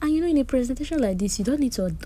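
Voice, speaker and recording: A woman gives a chatty, animated recap of a reality-show drama, with personal asides. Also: high voice, rapid-fire, slight background noise. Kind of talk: casual recap commentary.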